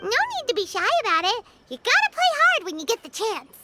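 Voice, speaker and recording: Squeaky, tiny fairy companion. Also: female voice, wildly swooping pitch, a little fast, loud music behind the voice, exaggerated voice. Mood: encouraging, pleased. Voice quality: squeaky, childlike. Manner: speaks of self in third person, perky. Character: tiny, childlike female fairy companion, perky and nosy. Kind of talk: anime-style video game voice acting.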